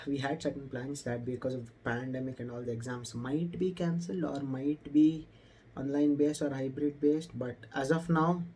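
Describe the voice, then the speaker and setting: A man's voice: medium pitch, steady tone, moderate pace, dark tone, slight background noise. A young man with an Indian accent talking casually to camera as a motorcycle vlogger, explaining things informally, recorded indoors in a room. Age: young adult. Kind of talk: casual vlog talk to camera.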